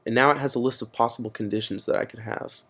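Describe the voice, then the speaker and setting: A man's voice: medium pitch, steady tone, fast talker, creaky, a bit bright. A teenage boy presenting a casual computer tutorial, explaining steps with frequent fillers. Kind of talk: screen-recorded computer tutorial.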